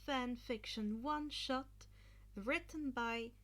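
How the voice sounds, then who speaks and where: A woman reading fan fiction aloud as scripted narration, in a dramatic, deliberate manner. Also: on the high side, fairly bright tone.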